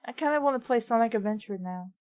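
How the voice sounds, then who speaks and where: A woman with a high voice, captured close and dry. She chats casually and jokingly as part of a comedic read-along. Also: lively intonation, fast, darkish tone, dry recording. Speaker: reader on a bad fanfiction show.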